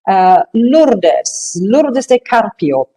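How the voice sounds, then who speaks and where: A woman runs an online panel over a video call in an upbeat, chatty and brisk manner. She keeps things moving and hands the floor from one speaker to the next. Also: mid-range voice, big pitch swings, mellow. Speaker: moderator.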